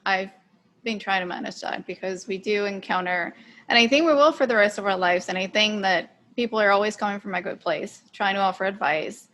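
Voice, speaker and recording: A woman's voice: medium pitch, a little fast, a bit bright. A woman from Colombia hosting a casual interview, talking personally and hesitantly, often correcting herself as she goes. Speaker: interview host.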